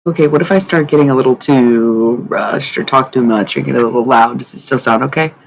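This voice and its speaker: Raspy woman rambling on her stream, swears a lot, gravelly, faint hiss behind. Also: very deep voice, fairly quick, poor audio. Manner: rambling and loose, swears freely, chatting to viewers. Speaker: livestream host. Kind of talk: casual live-stream chat. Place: at home at night, others asleep nearby.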